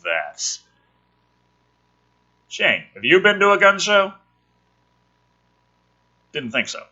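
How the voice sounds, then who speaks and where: A man's voice: high-pitched, huge pitch swings, takes it slowly, sharp tone, dull audio. A man sarcastically reading through a film script and commenting on it in a profane, mocking, theatrical way. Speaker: host of a script review show.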